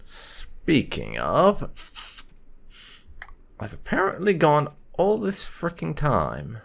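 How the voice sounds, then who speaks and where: A man's voice: a little high, huge pitch swings, takes it slowly, vocal fry. A man giving casual let's play commentary, rambling and musing to himself as he goes. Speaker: gaming video host.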